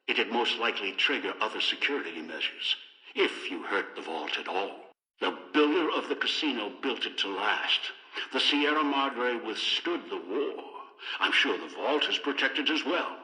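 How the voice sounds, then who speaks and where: An older actor playing a cold, paranoid, scheming old man in a video game, with deliberate, halting, contemptuous delivery. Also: mid-range voice, expressive, bright, forceful tone, reverberant, long reverb tail, poor audio. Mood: cold, dismissive. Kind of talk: dramatic video game voice acting.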